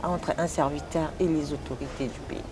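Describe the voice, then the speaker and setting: A woman's voice: low voice, fairly even intonation, normal speed. A woman interviewing a pastor, polite and thanking him often, with hesitant fillers between questions. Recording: noisy background.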